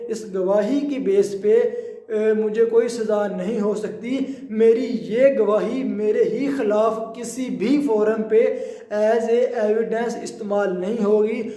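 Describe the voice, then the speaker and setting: A man's voice: sits high, steady tone, slow, mellow. A man with a Pakistani accent giving an informal explanatory law lecture, repeating and rephrasing himself and mixing in English terms. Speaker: law teacher. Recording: roomy, echoing sound.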